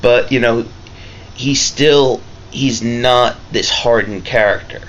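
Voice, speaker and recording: A man giving a casual, unscripted review, rambling and halting, with emphatic points and swearing. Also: mid-range voice, fairly even intonation, fairly bright tone, lo-fi sound, slight background noise. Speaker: amateur movie reviewer.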